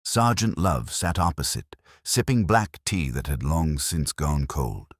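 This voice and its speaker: A man's voice: very deep voice, lively intonation, measured pace, dry recording, clean studio sound. A man reading a scripted mystery story as its narrator. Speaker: audiobook-style narrator.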